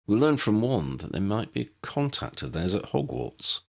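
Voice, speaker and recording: Decisive fantasy lawman, acted by a male reader, direct and polite, lively inflection, gravelly edge. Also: on the low side, moderate pace, heavily acted. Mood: earnest. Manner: direct, polite, slightly irritable. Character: earnest, decisive male head of wizard police.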